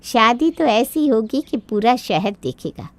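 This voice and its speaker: Woman as an eager-to-please mother, highish voice. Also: very animated, unhurried, no room sound. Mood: proud, obliging. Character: earnest, eager-to-please middle-aged mother of the bride. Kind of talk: Hindi cartoon moral-story dubbing.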